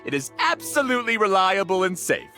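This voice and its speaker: An actor playing a confident scholar who hosts a tournament in a video game, giving a formal, grandly reassuring speech. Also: male voice, high voice, very animated, fairly quick, sharp tone, exaggerated voice. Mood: confident, welcoming. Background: music.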